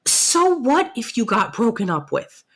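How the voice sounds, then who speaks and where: A young woman playing a caring, protective best friend secretly in love, in soft, intimate roleplay audio, with a husky, breathy voice and a teasing, reassuring way of talking. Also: a little high, wildly swooping pitch, a little slow, full character voice. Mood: earnest reassurance. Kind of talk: soft-spoken roleplay audio acting addressed to listener.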